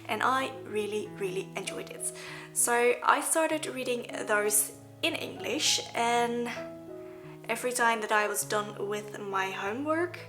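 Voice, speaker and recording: A young Dutch-accented woman talks casually and earnestly to her viewers, her voice sitting at an ordinary middle pitch. She slips in small laughs as she goes. Clear music plays under her the whole time. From Netherlands. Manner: chatty, earnest, with soft laughs. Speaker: vlogger. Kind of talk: casual talk to camera. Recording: background music.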